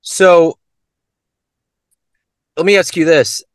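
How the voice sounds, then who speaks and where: A man's voice: on the high side, steady tone, extremely slow, clean tone, no room sound, clean studio sound. A man hosting an interview, asking upbeat questions. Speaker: interview host.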